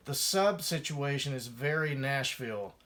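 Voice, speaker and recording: A man's voice: medium pitch, expressive, a little slow, fairly bright tone. A man reading his own first-person memoir aloud as a musician, in a wry storytelling manner.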